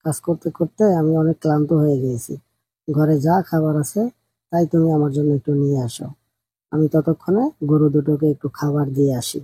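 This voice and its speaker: A man's voice: fairly high pitch, fairly even intonation, moderate pace, dark tone, breathy edge. A man voicing a poor, kind-hearted, hardworking village farmer in an earnest, plain-spoken way for an amateur cartoon story. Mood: weary.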